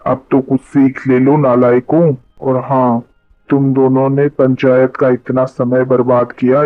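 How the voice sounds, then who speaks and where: Stern, even-toned village headman played by a man. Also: mid-range voice, dry recording, narrow, tinny audio, lightly acted. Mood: scolding. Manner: authoritative, scolding. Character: stern male village headman judging disputes.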